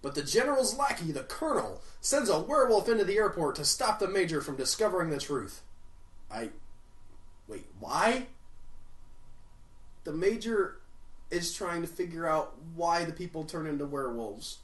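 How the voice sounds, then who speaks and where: Male comedy film reviewer, sarcastic, ranting, very animated pitch, roomy echo. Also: moderate pace, pauses often. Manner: sarcastic, swings into loud outraged rants. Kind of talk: comedic movie review narration. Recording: roomy echo, mic at a distance.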